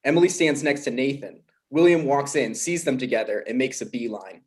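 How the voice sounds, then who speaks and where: A man reads scene directions aloud at a table read. He keeps the voice plain and even, with a medium pitch. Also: brisk. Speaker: reader of stage directions.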